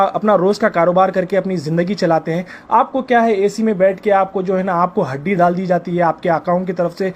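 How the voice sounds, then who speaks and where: A man from India, arguing over the phone in a pleading, reproachful and insistent way. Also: high-pitched. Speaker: caller. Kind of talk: confrontational phone conversation. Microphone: phone call. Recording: noisy recorded phone call.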